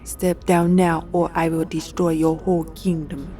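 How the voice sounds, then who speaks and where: An evil sorceress queen delivers a calm, commanding threat, as a woman narrator puts her on. Her voice stays low and cool with an altered, distant tone. It belongs to a dark fantasy audio story. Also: a little slow, soft background music, close to an everyday voice. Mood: menacing.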